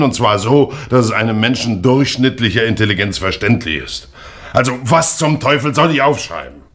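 Gruff, low-voiced police inspector. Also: male voice. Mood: exasperated, impatient. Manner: blunt, pedantic, exasperated questioning. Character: gruff, exasperated male police inspector, methodical and impatient. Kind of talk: 1960s German radio crime play acting.

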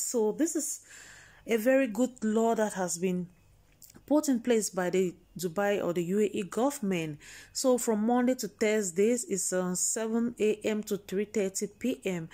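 Woman, news vlog host; chatty, exclaiming delivery, middle pitch. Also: mellow. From Cameroon. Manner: chatty, exclaiming, repeats points to the viewers.

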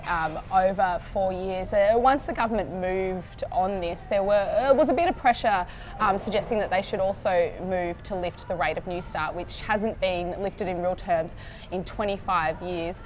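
A woman's voice: on the low side, moderate pace, soft background music. A woman in her thirties with an Australian accent, hosting a casual political panel from a bar table and asking pointed questions. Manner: chatty, asks pointed questions. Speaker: panel host. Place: indoor bar-restaurant, seated at a small table.

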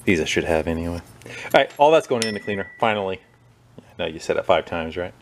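Relaxed, low-voiced man in his workshop. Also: a little fast, slight background noise. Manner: relaxed, chatty, talks while working. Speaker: small engine repair video host. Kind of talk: casual workshop narration. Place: workshop with a bench and wire wheel.

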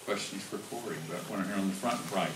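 A man moderates a press conference, calling on reporters in a brisk, businesslike way. He talks fast in a lowish voice, picked up from across an echoey room. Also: steady tone. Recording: echoey room, far off-mic, noisy.

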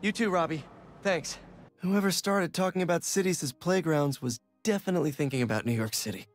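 A man's voice: a little high, a little fast, faint music behind, lightly acted. Wry young American superhero, played by a man. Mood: amused. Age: young adult. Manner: wry, conversational. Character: friendly young adult male New York superhero, wry. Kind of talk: game voice acting, casual reflection.

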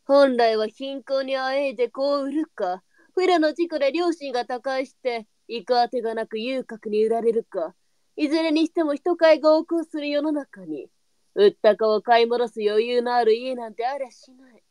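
Woman acting high-voiced, worldly brothel mistress. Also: normal speed, mellow, no room sound, natural voice. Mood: reflective. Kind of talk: online live voice drama acting.

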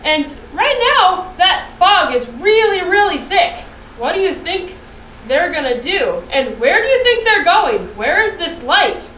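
Very high-pitched young woman host. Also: sing-song, a little slow, pushed and bright. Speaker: children's puppet show host. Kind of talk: addressing children between scenes. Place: indoor puppet stage. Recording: roomy echo, distant mic, faint noise.

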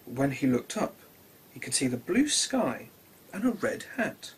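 A man's voice: medium pitch, very animated, a little slow, slightly rough, poor audio. A man in his thirties reading a children's storybook expressively, speaking simply to young listeners.

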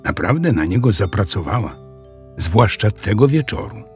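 A man's voice: on the low side, big pitch swings, moderate pace, a little mellow, soft background music. A man narrating a children's audio story as a scripted storyteller.

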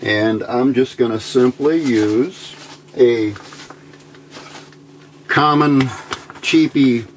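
A man giving an informal hands-on electronics tutorial, thinking aloud in a halting, unscripted way at an indoor workbench. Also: mid-range voice, rough recording. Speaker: electronics hobbyist demonstrating a homebuilt circuit. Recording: amateur recording with clear background noise.

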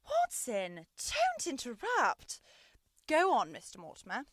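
A woman's voice: sits high, wildly swooping pitch, slow, dry recording, exaggerated voice. A woman playing a shrewd, commanding male detective in an old-style radio mystery, with a dry, superior manner. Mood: intrigued, commanding. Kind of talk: 1930s radio mystery acting.

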